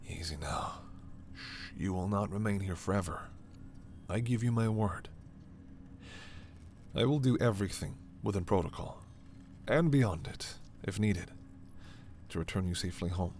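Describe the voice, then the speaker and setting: A low-pitched alien doctor, acted by a man, speaks with measured, formal authority and gentle, protective care. It is a sci-fi ASMR roleplay, with a steady ambient noise behind the voice. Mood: grave, soothing. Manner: measured, formal, reassuring, soft-spoken asides. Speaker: voice performer.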